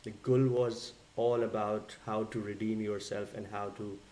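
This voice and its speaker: A man co-hosting a song reaction, agreeing warmly and often with his partner before giving his own view. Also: fairly low pitch, flat delivery, measured pace. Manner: agreeable, frequent affirmations. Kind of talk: casual reaction chat.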